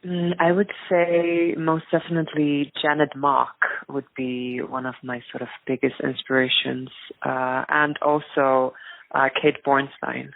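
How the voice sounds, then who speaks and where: A woman with an Icelandic accent answers interview questions in a thoughtful, hesitant way. Her intonation stays very even. The recording is dry and narrow, like a call. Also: rumbling low, a little slow, gravelly, dry recording. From rural Iceland. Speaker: interview guest, activist and filmmaker. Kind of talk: reflective interview talk.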